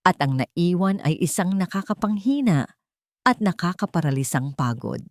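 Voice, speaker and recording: Low-voiced woman narrating a dramatic story. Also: animated, moderate pace, darkish tone, no echo, studio quality.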